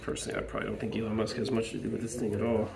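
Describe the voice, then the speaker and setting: A man's voice: on the low side, completely flat delivery, rapid-fire, vocal fry, a loud crowd. A man chatting casually to his son while repairing a kids' electric quad in his home garage, joking and talking to himself as he works. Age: adult father. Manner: chatty, jokey, talks to himself while working.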